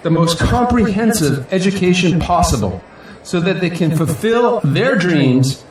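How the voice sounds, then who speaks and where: A man's voice: sits high, animated, unhurried. An actor playing a proud, idealistic male politician in an audio drama, giving a grandiose, earnest victory speech in declamatory rally style. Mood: rousing, fervent. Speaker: newly elected city council president. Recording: echoey hall, distant mic, crowd noise. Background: crowd and faint noise.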